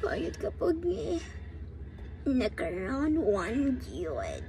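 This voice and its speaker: A woman's voice: high voice, wildly swooping pitch, extremely slow, mellow, full character voice. A woman with a Cebuano accent playing a playful, flirtatious lover in a radio drama, giggly and coy. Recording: noisy radio drama track.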